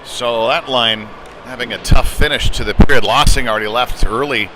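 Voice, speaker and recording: A man's voice: mid-range voice, moderate pace. A man doing informal, conversational live play-by-play commentary of a hockey game. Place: indoor community ice rink. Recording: noisy ice arena ambience.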